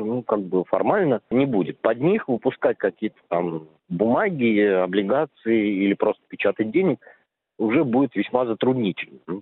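A man's voice: fairly low pitch, no echo. A man commenting on the economy in a casual, analytical way. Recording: tinny phone line.

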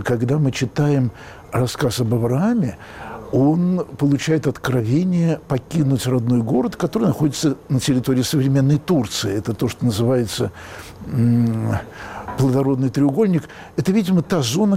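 A man's voice: mid-range voice, vocal fry, dark tone, slight background noise. A man interviewing, asking long, elaborate questions.

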